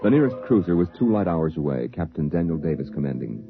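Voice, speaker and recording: An actor playing a solemn storyteller who narrates a 1950s science fiction radio drama. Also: male voice, extremely low pitch, very animated, fast talker, phone-quality sound, full character voice. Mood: solemn, suspenseful. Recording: thin vintage radio recording with music.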